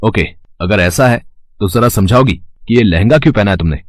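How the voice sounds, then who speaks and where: A man's voice: fairly low pitch, fairly quick, no echo, close to an everyday voice. A man acting an arrogant, short-tempered fashion designer in a dramatised moral story, imperious and mixing English into his Hindi. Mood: angry, scornful.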